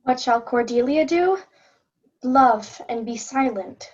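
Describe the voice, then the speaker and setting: A woman's voice: sits high, lively intonation, slow pace, a little mellow, lo-fi sound, exaggerated voice. A woman playing a sincere, plain-spoken young princess in a Shakespeare reading. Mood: anxious, sincere. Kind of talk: Shakespearean reader's theatre.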